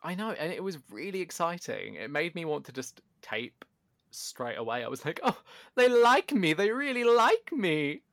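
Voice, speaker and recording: A man co-hosting a podcast in casual chat, loud and animated, often poking fun at himself. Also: a little high, moderate pace, dry recording, studio quality. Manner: animated, self-deprecating, loud. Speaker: podcast co-host. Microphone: home podcast microphone.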